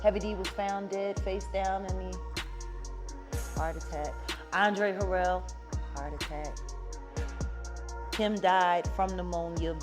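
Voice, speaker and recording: A woman's voice: on the low side, fairly even intonation, slow, long pauses. A woman talking casually and with strong opinions, blunt and profane, pressing her points with emphatic rhetorical questions. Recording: music behind the voice. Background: music.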